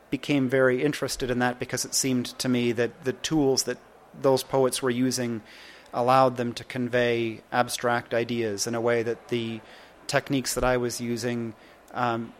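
Guy poet answering questions, lots of ums, faint hiss behind. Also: medium pitch. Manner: thoughtful, hesitant, many ums and restarts. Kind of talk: Q&A after a poetry reading.

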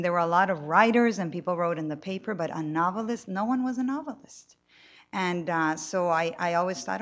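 Woman novelist, lively, chatty, low-ish voice, muffled event audio. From Colombia. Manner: animated, talkative, frequent restarts. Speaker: novelist on a panel. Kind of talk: panel talk to an audience. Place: room with a live audience.

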